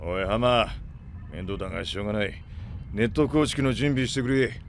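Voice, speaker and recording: An actor playing a gruff, grumbling freighter captain in a Japanese audio drama, with rough, slangy, irritable delivery. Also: male voice, fairly low pitch, lively intonation, fairly quick, a little hiss or hum. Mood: resigned.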